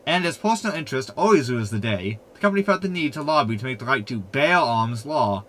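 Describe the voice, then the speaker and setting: A man's voice: medium pitch, expressive, fast, a bit bright, lightly acted. A man playing a sardonic, know-it-all hologram in a sci-fi sitcom audio drama, pompous and wordy. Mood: pedantic.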